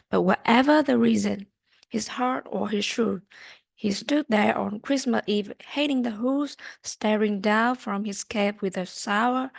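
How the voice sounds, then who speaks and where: A young adult woman, a student, reading a rhyming storybook aloud haltingly, repeating words as she goes. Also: a little high, gravelly, no room sound.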